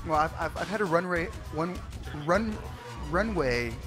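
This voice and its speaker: A man chatting casually on a podcast panel, telling anecdotes in a halting way with repeated words. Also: on the high side, animated. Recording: music clearly behind the talk.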